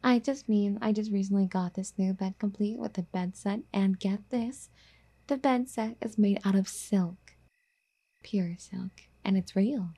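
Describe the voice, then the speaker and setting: A woman playing a doting, possessive rich girlfriend in an ASMR roleplay, soft-spoken and coaxing, often slipping into whispers. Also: mid-range voice, husky. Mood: coaxing, sheepish.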